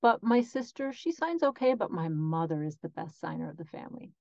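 A woman voicing a guest's answers as an interpreter in an interview, speaking in measured phrases with frequent pauses. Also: fairly low pitch, sing-song, creaky, darkish tone, dry recording. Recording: dry, slightly dull lo-fi audio.

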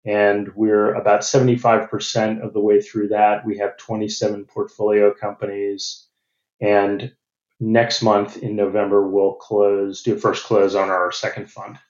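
American man, measured, in an echoey room. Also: lowish voice, flat delivery, darkish tone, dull audio. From United States. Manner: measured, businesslike, with frequent restarts. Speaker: venture capital partner. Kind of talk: interview answers.

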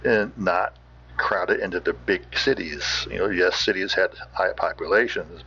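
A man hosting a podcast, giving casual off-the-cuff commentary in a halting, musing way. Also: low voice, vocal fry, fairly bright tone, slight background noise. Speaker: podcast host. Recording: slightly muffled sound.

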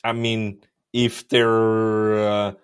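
A man's voice: on the low side, completely flat delivery, very slow, clear, resonant tone. A man with a Swedish accent hosting a podcast, easygoing and agreeable in casual chat. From Sweden. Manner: easygoing, agreeable, many yeahs. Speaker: podcast host.

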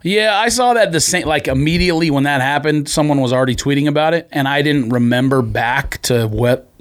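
A man's voice: on the high side, fairly quick. A man co-hosting a podcast, debating sports casually in an argumentative way and explaining his points. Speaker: podcast co-host.

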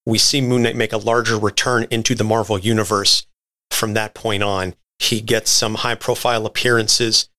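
Bright-voiced man explaining comics on a podcast. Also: fairly low pitch. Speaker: podcast co-host. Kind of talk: conversational explainer on comic book history.

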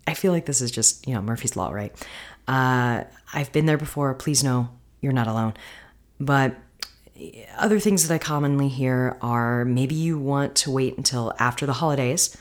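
A woman hosting her podcast, talking casually and encouragingly with a husky voice gone hoarse from singing. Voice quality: husky, deeper than usual. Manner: chatty, encouraging. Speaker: podcast host. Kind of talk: casual solo podcast talk.

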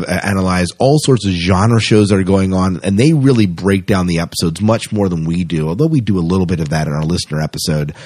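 Low, husky male podcast host. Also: a little mellow, dry recording. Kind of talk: casual podcast chat.

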